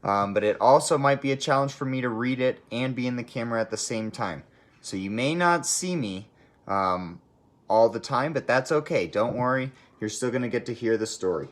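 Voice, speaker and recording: A teacher reading a storybook aloud to children, expressive and speaking directly to young listeners. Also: medium pitch, normal speed. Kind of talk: friendly storybook read-aloud.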